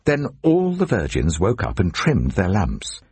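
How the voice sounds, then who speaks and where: A man narrating scripture dramatically, in a solemn, deliberate way. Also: sits low, wildly swooping pitch, darkish tone, slightly rough, no room sound. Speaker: Bible narrator.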